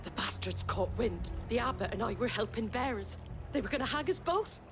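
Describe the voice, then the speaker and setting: A woman's voice: a little high, lively intonation, a little fast, music in the background. A woman playing a tough, outspoken innkeeper in a fantasy video game, blunt and earthy. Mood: bitter, shaken.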